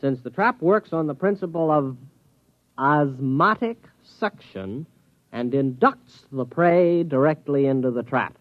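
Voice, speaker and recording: A man playing an eager, curious amateur hunter with an excitable, earnest manner in a 1950s radio comedy. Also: on the high side, unhurried, dry recording, close to an everyday voice. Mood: curious, amused. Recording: dull vintage radio recording.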